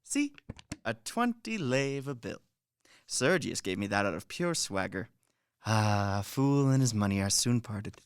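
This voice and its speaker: A man's voice: fairly low pitch, sing-song, unhurried, smooth, no echo, studio quality. Man playing a shrewd, swooping manservant. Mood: confiding, coaxing. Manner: wheedling, instructing, emphatic. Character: shrewd male Bulgarian manservant, scheming, self-important, lecturing. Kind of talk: radio play stage acting.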